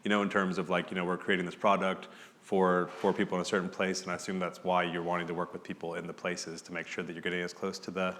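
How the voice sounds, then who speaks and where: A man's voice: low voice, flat delivery, quick pace, a bit bright, big-room sound. A man moderating an interview, asking long, hesitant questions full of "kind of" and "like.". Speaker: moderator, magazine editor.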